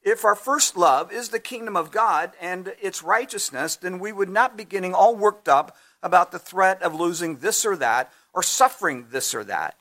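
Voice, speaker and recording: A man preaching a sermon at a measured pace, his voice going hoarse, clearing his throat now and then. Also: high-pitched, lively intonation, sharp tone. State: losing his voice. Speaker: pastor.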